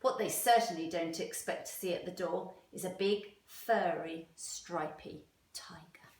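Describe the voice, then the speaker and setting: A woman's voice: on the low side, measured pace, whispered. A woman teacher reading a storybook aloud to young children, expressively and with questions for them. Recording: roomy echo, distant microphone.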